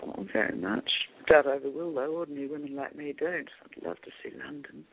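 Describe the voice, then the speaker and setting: A man voicing a spirited young farmer's daughter who trades teasing, quick-witted banter. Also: a little high, fairly even intonation, gravelly, slightly rough, no room sound. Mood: wistful. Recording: tinny phone-like line.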